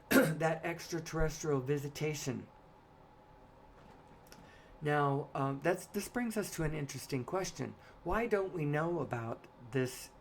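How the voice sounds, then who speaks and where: A woman with a hoarse, raspy voice giving a solo spoken monologue, pausing often to cough and clear her throat. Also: deep voice, slight background noise.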